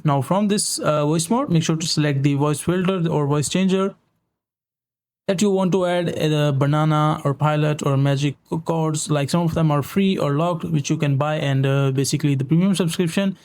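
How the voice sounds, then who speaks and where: A man narrating a step-by-step software tutorial in an informal, repetitive instructional way. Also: a little high. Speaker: tutorial host.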